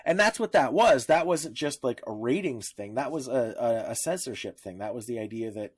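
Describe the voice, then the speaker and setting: Halting man in casual podcast discussion. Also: medium pitch, animated, slightly rough. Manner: halting, searching for words, rephrasing often. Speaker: podcast co-host.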